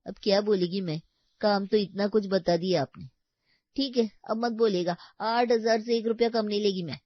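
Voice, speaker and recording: A woman's voice: medium pitch, normal speed, no echo, dull audio, lightly acted. A woman playing a shrewd, grumbling housemaid who haggles in colloquial, broken grammar in a comedy story. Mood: haggling. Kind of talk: animated comedy story dialogue.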